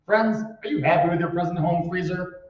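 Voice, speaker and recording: An actor playing a pushy commercial announcer giving an old-time radio sales pitch. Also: male voice, brisk, lightly acted. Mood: insistent salesmanship. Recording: echoey hall, distant mic, muffled.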